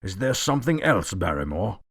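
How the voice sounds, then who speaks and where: A man playing a commanding, indignant lord of a manor in an audio drama, with a husky, authoritative, demanding delivery. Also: fairly low pitch, big pitch swings, moderate pace, a bit bright, no echo, studio quality, full character voice. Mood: firm.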